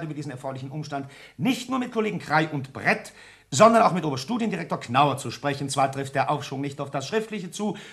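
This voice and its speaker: A man's voice: a little high, a bit bright. An actor playing a formal, pedantic history teacher in a radio play, officious and precise in his wording. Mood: solemn, formal. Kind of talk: German radio play acting.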